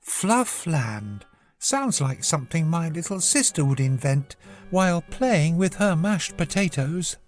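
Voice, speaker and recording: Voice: medium pitch, big pitch swings, a little slow, soft background music, pitched above the performer's own voice. A storybook narrator voicing a curious, chatty seven-year-old girl who speaks in an earnest, inquisitive way. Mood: chatty, wondering.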